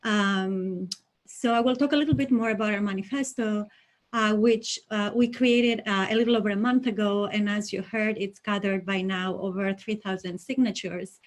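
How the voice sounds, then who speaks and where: A woman from Greece, a native Greek speaker, giving a prepared online presentation as a coalition member. She speaks in an earnest, measured way with frequent ums and uhs. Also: mid-range voice, steady tone.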